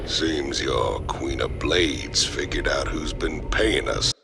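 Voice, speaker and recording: A burly American mercenary from a game cinematic, with a rock-bottom, gravelly voice. He drawls his cynical, blunt lines slowly over a music score. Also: a bass voice, exaggerated voice. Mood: gruff, needling. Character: burly, cynical, rough-edged male outlaw mercenary. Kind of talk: video game cinematic acting. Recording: music behind the voice.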